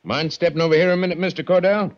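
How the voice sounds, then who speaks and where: An actor playing a blunt small-town sheriff who speaks curtly, in a 1940s radio drama. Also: male voice, high voice, fairly even intonation, quick pace, close to an everyday voice. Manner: curt, matter-of-fact. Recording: dull old radio recording with noise.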